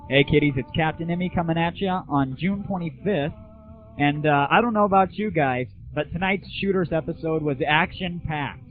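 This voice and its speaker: A man's voice: a little high, moderate pace, soft background music. A young man hosting an internet radio show, talking casually about gaming in an irreverent, sarcastic, slangy way. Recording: tinny, phone-like 1990s internet audio, roomless.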